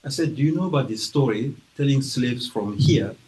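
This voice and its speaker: A man from Guinea with a French accent talks to a library audience about his book. He speaks slowly and carefully, with frequent hesitations. He acts out quotes as he tells the story, in a voice of ordinary pitch. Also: background noise. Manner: slow, careful delivery with hesitations and acted-out quotes. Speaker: author presenting his book. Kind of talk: informal talk to an audience. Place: library event room.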